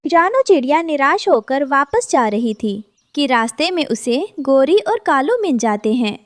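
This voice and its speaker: A woman's voice: sits high, big pitch swings, a little slow, dry recording. A woman narrating a scripted children's cartoon story.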